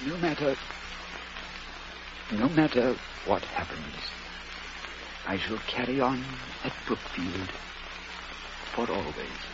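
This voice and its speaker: An actor playing a devoted, gentle schoolteacher husband in an old-time radio drama, speaking earnestly and haltingly. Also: male voice, mid-range voice, sing-song. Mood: holding back grief. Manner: earnest, halting, emotional delivery. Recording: dull, noisy old radio recording.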